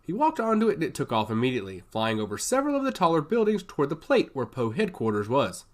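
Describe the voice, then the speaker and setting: A man's voice: fairly high pitch, wildly swooping pitch, fast. A man reading scripted science fiction action narration in an emphatic, theatrical way.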